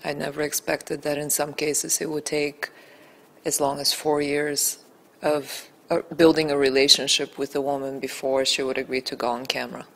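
Low-pitched woman, from Bulgaria, earnest, building emphasis, small indoor room, handheld mic. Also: level tone, moderate pace, poor audio. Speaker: documentary film-maker. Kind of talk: interview answers. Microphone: handheld mic held by interviewer.

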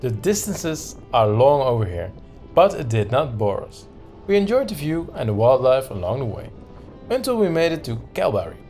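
A man's voice: medium pitch, big pitch swings, normal speed, dark tone. Guy doing travel vlog narration, voice swings up and down, bit of music behind. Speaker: travel vlogger.

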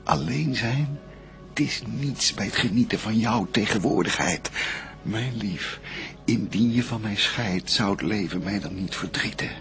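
Devout first man and adoring bridegroom, low gravelly voice, lyrical sweeping declamation, solemn verse radio play. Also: lively intonation, a little slow, fairly bright tone, faint music behind. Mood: tender, adoring.

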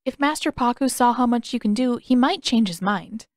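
An eager young boy from a storybook, earnest and chatty, voiced by a woman narrator. The voice is high and recorded dry. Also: expressive, normal speed, no room sound. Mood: hopeful, encouraging. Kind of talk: character dialogue in audiobook narration.

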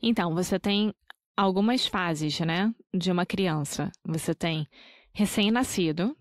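A woman's voice: fairly low pitch, unhurried, no echo, clean studio sound. A Brazilian woman co-hosting a casual podcast that teaches Portuguese, who laughs often and pronounces words carefully. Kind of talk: casual language-teaching chat.

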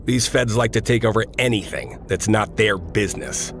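An actor playing a brash, grumbling mercenary team leader in a video game, casual and gruff in manner. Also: male voice, fairly low pitch, normal speed, noisy background, lightly acted. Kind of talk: video game military acting.

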